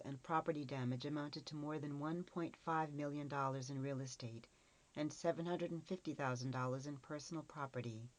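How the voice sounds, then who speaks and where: A woman reading a scripted encyclopedia article as a narrator. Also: deep voice.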